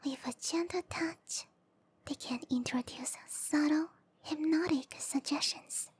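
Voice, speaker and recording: High, whispering seductive matchmaker played by a woman. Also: a little slow. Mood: sultry, informative. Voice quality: breathy whisper. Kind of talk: whispered ASMR roleplay.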